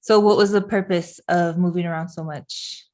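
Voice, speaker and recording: Young woman, podcast host, upbeat, enthusiastic, lowish pitch, living room, webcam. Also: fairly even intonation, moderate pace, a little mellow. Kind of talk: podcast intro and interview.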